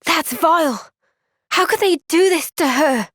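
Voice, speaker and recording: An actress voicing a gentle, kind and steady young woman soldier in a game's cutscenes, with a Welsh accent and a soft-spoken, caring, thoughtful way of talking. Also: very high voice, unhurried, dry recording, studio quality. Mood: upset. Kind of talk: video game cutscene dialogue. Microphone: close studio microphone.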